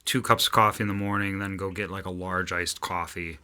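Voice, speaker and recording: A man co-hosting a podcast in casual chat, with a loud voice, rambling on and swearing freely. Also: sits low, little pitch movement, fairly quick, clear, resonant tone. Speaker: podcast co-host and singer.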